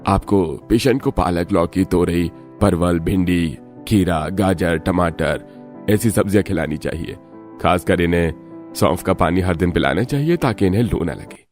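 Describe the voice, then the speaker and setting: A man's voice: low voice, moderate pace, a little mellow, faint music behind, lightly acted. A man playing a concerned doctor in a cartoon story, explaining and advising. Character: concerned male doctor advising a poor family. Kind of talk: Hindi cartoon story voice acting.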